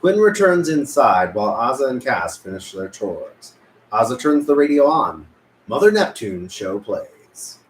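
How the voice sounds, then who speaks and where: A man's voice: medium pitch, sing-song, a little slow. A man narrating stage directions at a script table read. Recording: echoey room, faint noise.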